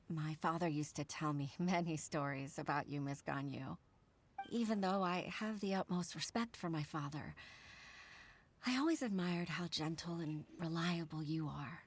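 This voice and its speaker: Woman acting an earnest, low-pitched game mother. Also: slight background noise, natural voice. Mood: admiring. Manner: polite, hesitant, sincere. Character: earnest, grateful working mother, respectful and modest secretary. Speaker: video game character. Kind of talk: dubbed game dialogue acting.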